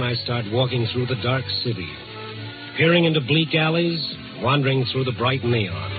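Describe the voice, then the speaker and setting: A man's voice: medium pitch, natural voice. An American actor playing a world-weary night reporter in a 1950s radio drama, wisecracking as he narrates. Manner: hard-boiled, wisecracking narration. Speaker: actor in the lead role. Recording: tinny old radio recording with loud music.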